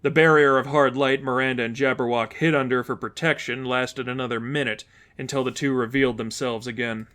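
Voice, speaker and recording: A man's voice: medium pitch, steady tone, brisk, a bit bright. A man reading as narrator, delivering scripted fiction in a storytelling style.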